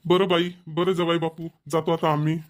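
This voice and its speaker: A man's voice: on the high side, little pitch movement, moderate pace, lightly acted. An actor playing a polite, obliging middle-aged father-in-law in a cartoon family comedy, with a Varhadi Marathi accent. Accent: Varhadi Marathi, Vidarbha.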